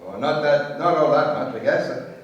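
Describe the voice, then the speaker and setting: Man acting shifty colloquial bloke, probing, high-pitched, radio play, heavy echo. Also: moderate pace, lo-fi sound, close to an everyday voice. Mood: casual, probing. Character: shifty, casual London pub acquaintance fishing for information. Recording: very echoey, distant from the mic.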